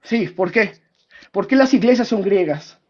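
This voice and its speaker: A man's voice: high voice, animated, measured pace, lo-fi sound. A man with a Mexican accent debating as a self-described historian, often repeating himself and talking down with "my friend". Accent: Mexican Spanish. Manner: interrupts, repeats words, patronizing "mi estimado". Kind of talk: heated debate on a call.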